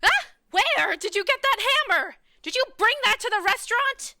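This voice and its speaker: A woman's voice: very high-pitched, animated, moderate pace, pushed and bright, heavily acted. A woman playing an exasperated, level-headed survivor in a comedic radio play, incredulous and emphatic. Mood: alarmed.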